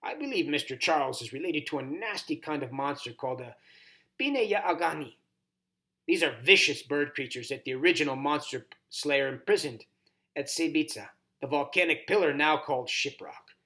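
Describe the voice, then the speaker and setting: A man's voice: on the high side, expressive, moderate pace, pushed and bright. A man voicing a wise horned toad mentor in a children's storybook, grave and instructive with somber warnings. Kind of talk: audiobook character reading.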